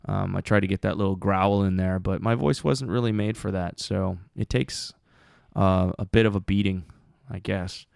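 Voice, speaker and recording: Male podcast host, low and gravelly, mousy punk voice, rambling casual delivery with lots of fillers. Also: steady tone, normal speed, a little mellow, no room sound, clean studio sound. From Canada. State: voice still recovering from screaming at a show. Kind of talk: casual chat.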